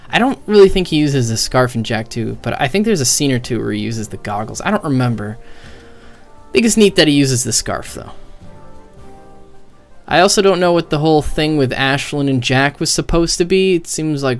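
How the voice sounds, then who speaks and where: A man's voice: medium pitch, lively intonation, moderate pace, faint music behind. A man streamer giving casual, off-the-cuff commentary on game cutscenes in a blunt, sweary, opinionated way. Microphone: close mic with gain set very high.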